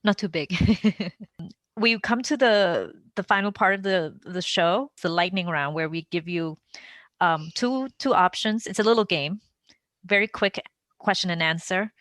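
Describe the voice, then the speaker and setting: A woman's voice: on the low side, a little slow, a bit bright, no room sound. A woman hosting a podcast interview in a casual, conversational style, asking questions and explaining things to her guest. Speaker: podcast host.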